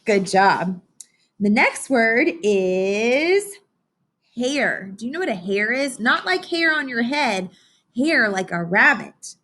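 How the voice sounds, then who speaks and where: A woman's voice: a little high, expressive, takes it slowly, pushed and bright. A woman teaching young children in an interactive read-aloud lesson, encouraging and instructional, often putting questions to her listeners.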